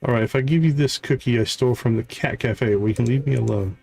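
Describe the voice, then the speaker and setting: A man's voice: medium pitch, fast, gravelly, a little mellow. A man hosting a game livestream, thinking aloud in casual, chatty commentary as he plays. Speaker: livestream host playing a video game. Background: game music.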